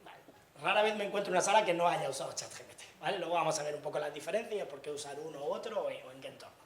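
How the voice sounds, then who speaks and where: A man's voice: on the high side, rapid-fire, fairly bright tone. A man from Granada with an Andalusian Spanish accent, giving a chatty conference talk to students in a university hall and often asking the audience questions. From Granada, Spain. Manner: chatty, asks the audience questions, frequent tag questions. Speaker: invited speaker.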